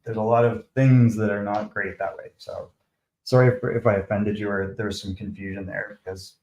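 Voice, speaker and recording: A man's voice: on the low side, little pitch movement, a little fast, darkish tone, echoey room, low-quality recording. Canadian man chatting on a livestream. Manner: chatty, defensive, talks to viewers by name. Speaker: live stream host. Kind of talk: casual live commentary, replying to chat.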